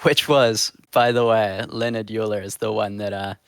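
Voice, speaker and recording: A man's voice: lowish voice, fairly even intonation, moderate pace, clear, resonant tone, no room sound. A young adult man in casual chat, confidently explaining and correcting others. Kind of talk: casual chat among players.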